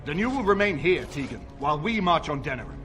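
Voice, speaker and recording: A man's voice: fairly high pitch, sing-song, fast talker, a bit bright, faint music behind. A man playing a stately older nobleman in a fantasy game, formal, sober and authoritative. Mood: commanding. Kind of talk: video game cutscene acting.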